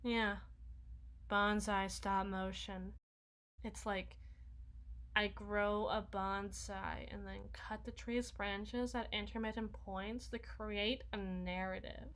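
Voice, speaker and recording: A woman's voice: mid-range voice, fairly even intonation, fairly bright tone. A woman playing a sulky, sarcastic young vampire shut-in in an ASMR roleplay, whining and mumbling through a one-sided conversation. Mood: sardonic complaining. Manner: whiny, sarcastic, halting, dropping into mumbles and sighs. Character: sulky, sarcastic young female vampire, reclusive lazy gamer.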